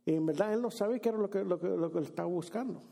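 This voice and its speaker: A man with a high, gravelly voice delivers a sermon in a church, talking loosely and restarting his phrases often. His tone is dark and mellow with a husky edge. He slips into English now and then. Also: racing. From Brooklyn, New York. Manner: conversational, many restarts and repeated words, mixes in English phrases. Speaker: pastor. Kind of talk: sermon with Bible reading. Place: church sanctuary.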